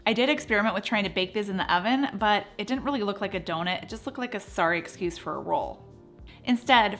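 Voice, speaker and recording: A woman's voice: medium pitch, fairly bright tone. A woman in her thirties hosting a cooking show, narrating recipe steps in an upbeat voice with playful asides, recorded in a home kitchen. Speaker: cooking channel host.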